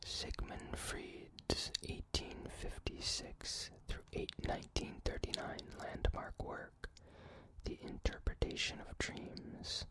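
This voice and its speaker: A person whispers through an ASMR reading without ever voicing the words. The delivery is very slow and careful, broken into short phrases with pauses between them. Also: poor audio. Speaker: reader.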